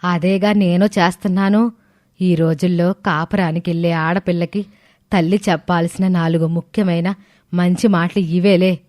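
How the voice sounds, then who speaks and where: A woman's voice: on the low side, fairly even intonation, normal speed, darkish tone, no echo, clean studio sound, natural voice. A woman voicing a bossy, defensive middle-aged mother in a cartoon story. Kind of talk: scripted cartoon dialogue.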